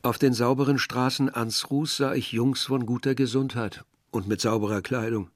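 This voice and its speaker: Ein Schauspieler spielt den nachdenklichen jungen Dörfler, der in einem literarischen Hörspiel von seiner Reise erzählt. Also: male voice, medium pitch, steady tone, normal speed, no room sound, studio quality, close to an everyday voice. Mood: wistful. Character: weary, observant young male villager narrating a journey. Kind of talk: literary radio drama narration.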